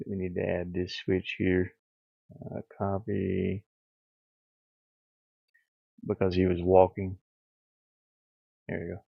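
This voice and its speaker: A man thinking aloud in a halting mutter as he gives casual commentary on his hobby game development. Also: deep voice, gravelly, no room sound. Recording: dull lo-fi audio, faint music. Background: faint music.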